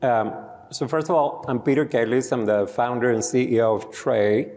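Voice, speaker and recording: Greek-accented founder on a live panel, explanatory, heard with hall echo. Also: male voice, mid-range voice, moderate pace, a little mellow. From Greece. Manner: explanatory, storytelling. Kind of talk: conference panel discussion. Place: conference stage. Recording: reverberant conference hall.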